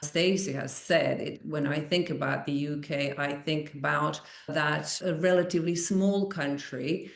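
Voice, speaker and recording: A middle-aged woman from Croatia, a language school founder speaking in a slightly halting way with fast bursts. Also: low-pitched, steady tone, vocal fry, roomy sound. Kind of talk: podcast interview.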